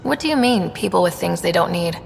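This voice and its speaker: A woman's voice: lowish voice, animated, a little fast, vocal fry, long reverb tail, slight background noise. An actress playing a young woman explorer in a video game, curious and helpful, speaking in a direct, inquisitive way. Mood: curious, friendly. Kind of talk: video game dialogue acting.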